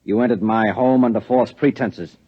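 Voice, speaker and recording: An actor playing a secretive, suspicious husband in a 1950s American radio drama, speaking in a curt, controlled, threatening way. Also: male voice, fairly low pitch, normal speed, breathy edge. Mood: cold menace. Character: menacing, secretive husband interrogating with cold suspicion. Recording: muffled vintage radio recording.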